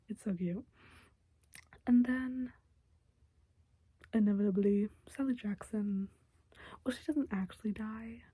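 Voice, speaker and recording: A young woman giving a casual, chatty recap of a TV episode in a soft whisper, close to the mic, with lots of fillers. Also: mid-range voice, slow pace, long pauses, husky, no room sound.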